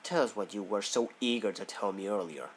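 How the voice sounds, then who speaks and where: A man's voice: on the low side, fairly quick, a little hiss or hum, close to an everyday voice. A man playing a haughty, sneering eagle prosecutor in an audio drama, with a pompous, theatrical delivery. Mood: smug. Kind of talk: fan audio drama acting.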